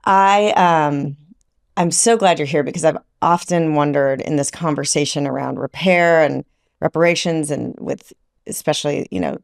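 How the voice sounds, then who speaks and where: A woman's voice: deep voice, normal speed, creaky, no echo, studio quality. A woman hosting a podcast, affirming her guest with effusive praise and searching for words as she talks. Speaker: podcast host. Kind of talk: conversational podcast interview.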